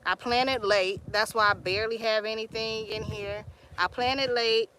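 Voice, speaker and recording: A young Texan woman talks into her phone out in her garden, unhurried and chatty. Her voice sits a bit high, and she drawls through stories in easygoing Southern slang. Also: fairly even intonation, bright, forceful tone, slight background noise. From Texas, US. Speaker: homesteading live streamer. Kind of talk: casual live stream chat. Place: outdoor garden.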